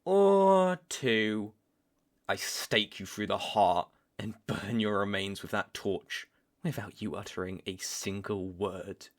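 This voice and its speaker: A young British man playing a stern, taunting vampire hunter in a roleplay audio drama, speaking deliberately and with cool menace. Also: lowish voice. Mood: cold, serious. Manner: slow, deliberate, threatening.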